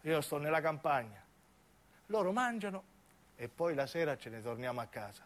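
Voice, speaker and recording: A man's voice: on the high side, very animated, normal speed. Man acting a rustic young southern Italian soldier. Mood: earnest. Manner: rustic, plain-spoken. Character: simple, solitary young country soldier, earnest and plain-spoken.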